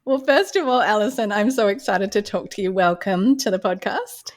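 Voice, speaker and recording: A woman's voice: medium pitch, moderate pace. A woman speaking as a podcast host and interviewer. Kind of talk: scripted introduction, then conversational interview.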